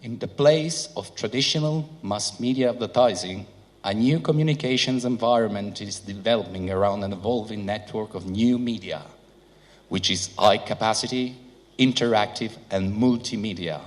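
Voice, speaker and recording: A man whose first language is not English, giving a seminar talk through an interpreter, with many pauses and fillers. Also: on the low side. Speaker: seminar speaker. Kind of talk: conference presentation with interpreter. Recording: hall reverb.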